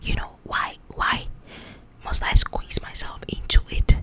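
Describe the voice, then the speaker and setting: Whispering woman doing ASMR. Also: unhurried. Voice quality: breathy unvoiced whisper. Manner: confiding, conversational, checks that the listener follows. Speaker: host of an ASMR book channel. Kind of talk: ASMR, whispered chat about book highlights.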